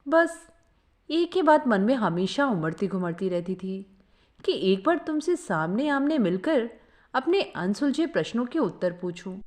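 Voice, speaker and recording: A woman reads a sentimental story in the first person. Her voice sits fairly high and moves up and down a great deal, giving the narration an expressive, storytelling feel. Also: a little slow.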